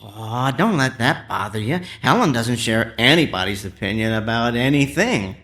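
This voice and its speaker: An actor playing a mild-mannered, eccentric amateur scientist and henpecked husband in a radio drama, hesitant, stammering and earnest. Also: male voice, medium pitch, animated, normal speed, a bit bright, no echo. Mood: awkward, reassuring.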